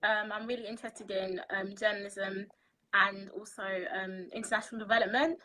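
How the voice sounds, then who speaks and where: Bright-voiced young woman on a call. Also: medium pitch, fairly even intonation, poor audio. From London, UK. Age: 20s. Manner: measured, frequent ums. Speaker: interview guest. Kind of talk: answering interview questions. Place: indoor room. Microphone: video call.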